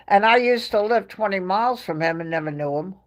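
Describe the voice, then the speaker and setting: A woman's voice: mid-range voice, lively intonation, normal speed. A woman with a New York accent hosting a livestream, telling stories in a chatty way and laughing often between phrases. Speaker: livestream host. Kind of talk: casual chat to a live chat audience.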